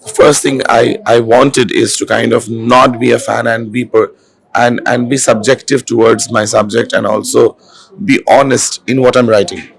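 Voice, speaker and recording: A hoarse man, an author and film director, speaking in a festival interview with many fillers and restarts. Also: mid-range voice, fairly even intonation, moderate pace, poor audio. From India. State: hoarse, has lost his voice. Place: literature festival venue. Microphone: handheld mic.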